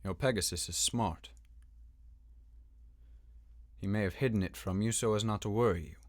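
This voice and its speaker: A man's voice: on the low side, normal speed, no echo, lightly acted. A man playing an earnest, dutiful young knight in a game fan dub, speaking in a serious, slightly formal manner. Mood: reassuring. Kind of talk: acted game dialogue.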